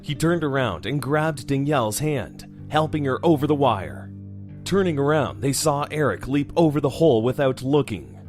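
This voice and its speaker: A man's voice: mid-range voice, expressive, normal speed. A man narrating a horror audio drama from a script. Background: music.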